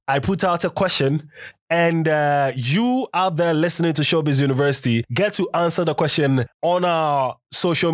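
A man's voice: on the high side, dry recording, studio quality. A man with a Ghanaian accent hosting a podcast, talking straight to his listeners in a chatty way with little asides. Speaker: podcast host. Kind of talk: casual podcast monologue.

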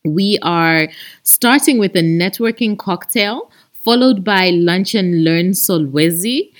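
A woman's voice: lowish voice, takes it slowly. A woman with a Zambian accent hosting a chatty podcast, talking expressively and addressing her listeners like friends. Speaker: podcast host. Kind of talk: chatty podcast monologue with announcements.

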